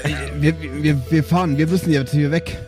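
A man's voice: a little high, steady tone, a little fast, close to an everyday voice. A man playing a flustered, embarrassed father who owns a spaceship, hesitant and stammering, in an improvised audio roleplay. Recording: music under the voice.

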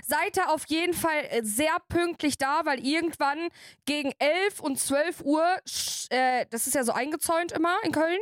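A woman's voice: sits high, normal speed, no echo, clean studio sound. A woman in her mid twenties co-hosting a podcast in an informal, casual chat with youthful slang. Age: mid 20s. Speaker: podcast co-host.

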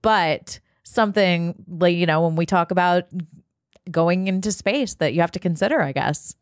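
A woman's voice: on the low side, normal speed, no echo, studio quality. A woman co-hosting a podcast in casual, conversational chat. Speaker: podcast co-host.

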